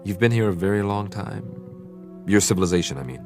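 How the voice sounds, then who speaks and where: An eager, naive American scientist in a radio drama. His voice is fairly low and swoops around a lot as he stumbles over his words, with faint music underneath. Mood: curious. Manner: halting, excitable, stammering. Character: eager, naive male American scientist stranded on another planet.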